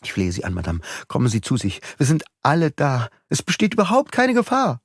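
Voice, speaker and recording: An earnest young detective who presses and coaxes with urgency, as a male narrator puts him on. The voice lifts above the narrator's own and moves in lively swings. It is dry and close. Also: on the high side, a little fast, dry recording, pitched above the performer's own voice, heavily acted. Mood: coaxing. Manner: urgent, coaxing.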